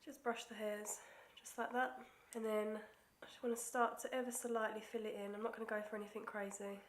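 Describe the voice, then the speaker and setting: A woman's voice: mid-range voice, little pitch movement, brisk. A young woman with a stuffy nose from a cold, chatting casually through a makeup tutorial and sometimes dropping to near-whispers as she concentrates. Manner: chatty, self-deprecating, drops to near-whispers while concentrating. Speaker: beauty vlogger. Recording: echoey room.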